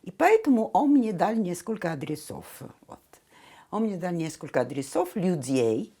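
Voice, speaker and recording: Animated older woman with an Italian accent. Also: on the low side, moderate pace, slightly rough. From Italy. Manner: animated storyteller, laughs often, acts out quoted voices. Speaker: guest. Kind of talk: reminiscing conversation.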